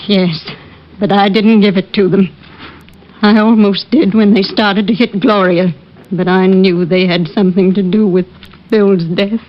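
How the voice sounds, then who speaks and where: A woman playing a dignified, brave, stubborn older mother in vintage radio drama, speaking politely, measured and firm. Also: medium pitch, steady tone, natural voice. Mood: resolute. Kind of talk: 1940s-50s radio drama acting. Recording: muffled lo-fi old radio recording.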